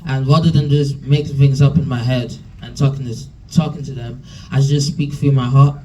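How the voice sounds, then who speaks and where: A man's voice: mid-range voice, little pitch movement, moderate pace, mellow, recorded from a distance, poor audio. A young man, mentee telling a story to a room, chatty with many fillers and restarts. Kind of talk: nervous personal story at a talk. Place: room with an audience. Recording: from across a room, faint room noise.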